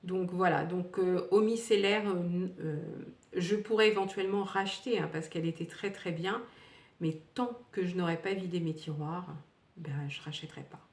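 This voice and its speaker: A woman's voice: fairly low pitch, steady tone, moderate pace. A woman in her fifties reviewing used-up beauty products in a casual, rambling way, with many fillers and restarts. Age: 50s. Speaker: beauty vlogger. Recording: echoey room, distant from the mic.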